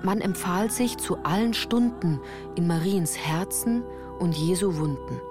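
Woman reading a literary story for radio, kinda low voice, composed and careful, music playing behind her. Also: unhurried. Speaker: first-person narrator. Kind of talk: scripted literary narration for radio play.

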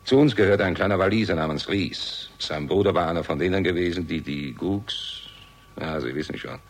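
A very low, husky male voice playing a bitter old soldier in a radio crime play. He is curt and evasive, with a cynical, sardonic edge. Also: moderate pace. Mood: grim, bitter. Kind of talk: German radio crime drama acting.

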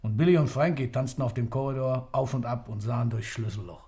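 An actor playing an articulate man in a radio play, giving a detached, literary account in a measured manner. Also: mid-range voice, mellow, natural voice. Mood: detached, descriptive.

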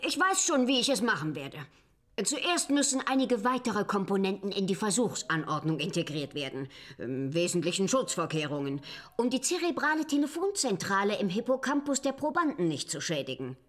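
A woman's voice: medium pitch, sing-song, brisk, a bit bright. An actress playing an eccentric, self-assured woman scientist in a radio drama, with a formal, learned way of talking. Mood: confident, brisk. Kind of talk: German radio drama acting.

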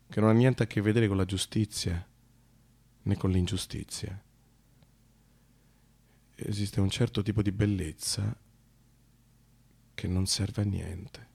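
A man delivering a theatrical radio monologue, moving between excited telling and hushed reflection. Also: on the low side, vocal fry, husky. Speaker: narrator and actor in a radio monologue. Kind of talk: theatrical first-person storytelling, radio monologue.

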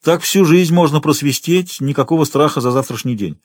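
A man's voice: fairly high pitch, fairly quick, dry recording. A man reading a first-person literary story as an audiobook narrator, expressively shifting tone as he goes.

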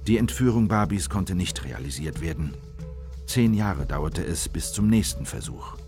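An actor playing a sombre conspirator recalling events as a deliberate narrator in a radio documentary drama. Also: male voice, sits low, animated, a little mellow, faint music behind. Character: male memoir narrator, sombre conspirator recalling a failed plan.

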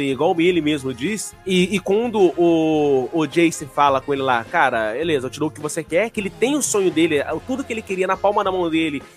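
A man with a slight lisp, co-hosting a casual podcast chat, swearing and laughing often as he argues his point. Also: fairly high pitch. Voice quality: mild speech impediment. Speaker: podcast co-host.

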